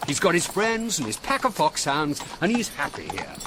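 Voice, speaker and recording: Casting: wry, worldly cavalry-era staff officer, high lively voice, radio drama with horses and clear noise. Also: male voice, moderate pace. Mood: reflective. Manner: urbane, wry, well-spoken. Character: Fitzroy Somerset, worldly, gossipy gentleman officer, military secretary. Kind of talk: period radio drama acting. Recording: noisy outdoor scene with horses. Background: horses clip-clopping.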